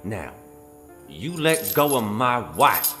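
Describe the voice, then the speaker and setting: A man playing a frightened, reluctant informant in an audio drama, speaking in a halting, hesitant way. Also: on the low side, big pitch swings, fairly bright tone, heavily acted. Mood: reluctant, uneasy. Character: frightened, reluctant male informant pleading for his wife. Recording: long reverberant hall sound, faint music behind.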